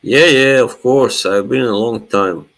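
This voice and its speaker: A man's voice: mid-range voice, measured pace, gravelly, a bit bright. A young man from Bosnia, whose first language is Bosnian, hosting a casual live chat in a laid-back way with frequent laughs. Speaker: live stream host.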